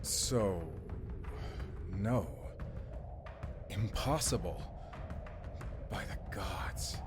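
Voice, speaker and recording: Man acting an earnest human, slow and dramatic. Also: fairly low pitch. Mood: curious, incredulous. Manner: dramatic, questioning. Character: earnest, disbelieving human man hearing ancient lore. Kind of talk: fantasy audio drama acting. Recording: prominent dramatic music with drums behind.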